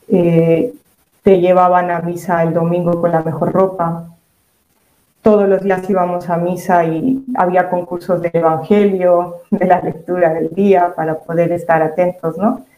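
A woman guest speaking calmly and reflectively about her upbringing in an interview. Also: on the low side, reverberant, low-quality recording. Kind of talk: testimony interview.

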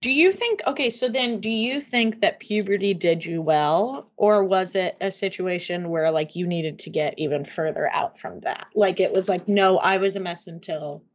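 Low-voiced woman, twenties, rambling podcast chat, dull lo-fi recording. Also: normal speed, few pauses. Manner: rambling, full of "like", restarts mid-thought. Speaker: podcast co-host. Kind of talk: casual chat. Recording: dull lo-fi sound.

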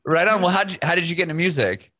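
A man's voice: sits high, lively intonation, racing, pushed and bright, dry recording, clean studio sound. A man hosting a podcast interview over a video call, upbeat and laid-back. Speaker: podcast host.

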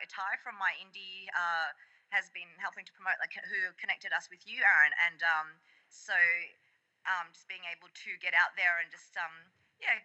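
A woman's voice: medium pitch, sharp tone, dull audio. An Australian woman from Melbourne, a podcast guest and actress, talking casually with lots of ums. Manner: rambling, many ums. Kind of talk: casual interview chat.

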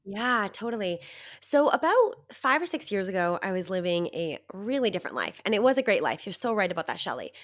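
A woman in her thirties from Canada, a guest telling her story in an upbeat, animated way, slightly nasal from a cold. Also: medium pitch, a little fast. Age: 30s. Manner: upbeat, animated, laughs often. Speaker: guest, success coach. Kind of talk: conversational storytelling.

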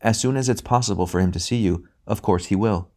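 A man voicing a bright spirit, a brother who speaks gently and patiently in a calm, measured way. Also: lowish voice, lightly acted. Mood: gentle, patient.

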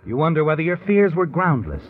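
Sinister, swooping radio suspense narrator. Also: male voice, a little high, sing-song, background noise. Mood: tense, taunting. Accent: American. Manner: ominous, insinuating, dramatic, deliberate. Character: knowing, sinister, taunting male omniscient storyteller. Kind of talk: 1950s American radio suspense narration in second person. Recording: tinny narrow 1950s radio recording.

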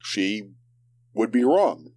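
A man playing a curt, stiffly formal character in an audio drama, clipped and deadpan. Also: on the low side, very slow, full character voice. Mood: curt, dry.

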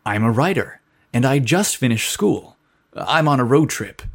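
A man's voice: mid-range voice, expressive, measured pace. A man voicing a nervous young writer who stutters and sounds flustered.

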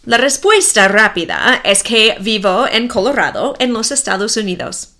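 A woman's voice: mid-range voice, a bit bright. A woman from the United States with an American accent, a native English speaker, narrating a scripted story for language learners in simple, carefully separated sentences. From Minnesota, United States. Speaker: host of a Spanish-learning story podcast.

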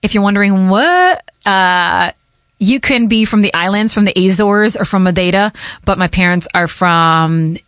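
A woman in her thirties, a radio host from Connecticut whose first language is Portuguese, talks casually through listener questions about her life. She rambles a little and laughs between thoughts, at a middling pitch. The sound is dry and close, with no room around it. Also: moderate pace, a bit bright, no echo. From Connecticut, USA.

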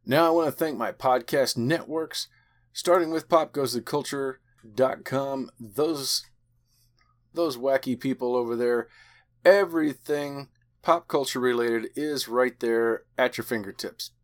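A man's voice: medium pitch, a little slow. A man hosting a podcast in a chatty, enthusiastic way. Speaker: podcast host.

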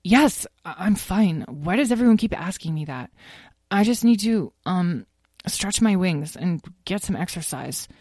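A woman's voice: on the low side, expressive, a little slow, a little mellow, dry recording, studio quality. A woman voicing a young male dragon in a children's story, sickly and stubborn, with a whiny, pleading, defensive manner.

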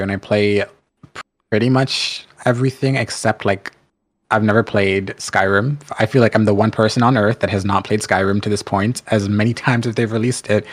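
A young man with a soft, soothing voice, chatting easily as a podcast guest. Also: on the low side, no room sound. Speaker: podcast guest, game streamer. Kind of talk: casual podcast chat.

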